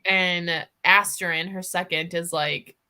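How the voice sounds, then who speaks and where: Bright-voiced woman chatting casually about books. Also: fairly low pitch, steady tone, slow pace, clean tone. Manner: frequent "like", giggly, opinionated. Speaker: book discussion co-host. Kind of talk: casual excited book chat. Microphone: livestream video call.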